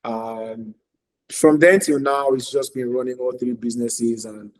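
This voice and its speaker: A man's voice: mid-range voice, fairly even intonation, unhurried, darkish tone, poor audio. A man, earnestly telling his story as a podcast guest, repeating phrases for emphasis. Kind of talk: casual podcast interview.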